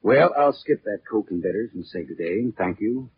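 An actor playing a wisecracking, world-weary private investigator in a 1940s American radio drama, talking in wry, casual slang. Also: male voice, lowish voice, expressive, moderate pace, breathy edge, no echo, exaggerated voice. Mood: wry, probing. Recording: muffled, noisy vintage radio recording.

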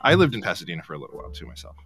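Bantering male host, lively pitch. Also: rapid-fire, vocal fry, soft background music. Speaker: talk show host. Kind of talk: casual morning chat show.

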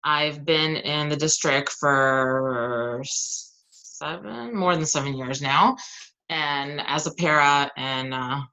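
Low, bright-toned woman teacher chatting. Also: slow pace, low-quality recording. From Southern California and Arizona. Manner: friendly, chatty, laughs easily. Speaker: kindergarten teacher, guest. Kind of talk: casual podcast interview.

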